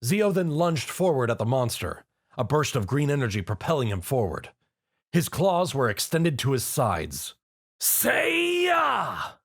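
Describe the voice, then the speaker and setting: A man's voice: medium pitch, sing-song, normal speed. A man reading a fan-written action story aloud as narrator. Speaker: reader.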